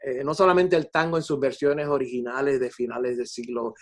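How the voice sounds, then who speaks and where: An older man with a Puerto Rican Spanish accent, a composer reflecting in an interview, haltingly repeating words as he explains. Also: mid-range voice, steady tone. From Puerto Rico.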